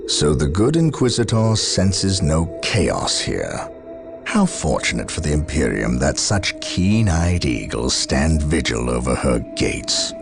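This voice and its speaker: A man's voice: a bass voice, wildly swooping pitch, takes it slowly, vocal fry, over music, full character voice. A man playing a stern, resolute space marine captain in a video game, grave and commanding in his delivery. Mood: grim, sardonic. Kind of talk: dramatic video game cutscene acting.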